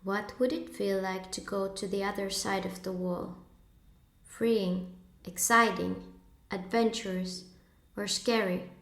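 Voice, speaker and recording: A woman narrating a guided meditation in a measured, instructive way, pausing between short phrases. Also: medium pitch, fairly even intonation, fairly bright tone, roomy sound.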